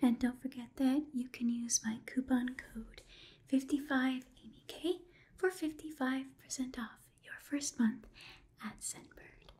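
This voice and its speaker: Young female ASMR creator, breathy whisper, mellow and slightly high, for a sponsor read. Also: unhurried. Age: young adult.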